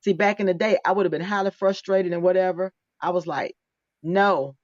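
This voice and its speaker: A talkative woman in her fifties hosts a relaxed conversation, laughing easily between thoughts. Her voice comes through a dry, dull tablet microphone with no room sound. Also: lowish voice, normal speed, no room sound. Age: 50s. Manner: chatty, laughing, restarts often. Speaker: podcast host. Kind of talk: casual interview chat.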